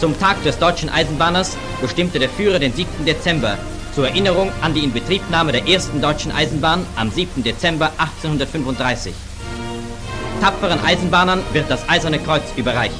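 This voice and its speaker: High-voiced 1940s newsreel man over loud music. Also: fairly quick. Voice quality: formal, declamatory announcer delivery. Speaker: newsreel narrator. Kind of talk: 1940s German wartime newsreel narration.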